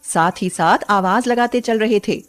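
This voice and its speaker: A woman's voice: medium pitch, normal speed. A woman narrating a scripted bedtime story as a storyteller.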